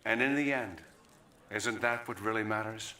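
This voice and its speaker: An actor playing a pompous, folksy American vice president giving a podium speech in a video game cutscene, with an American accent. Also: male voice, lowish voice, moderate pace, sharp tone. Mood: solemn, reluctant. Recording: echoey podium hall sound.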